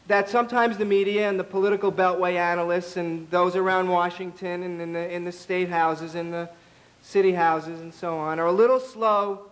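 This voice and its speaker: A hoarse man, a party official, giving a political speech at an event, halting with ums and building to a rousing call. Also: sits high, fairly bright tone.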